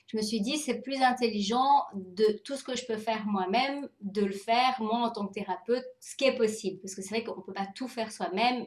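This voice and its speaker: Woman, 40s, from France, therapist guest, casual storyteller, medium pitch, very roomy echoey sound.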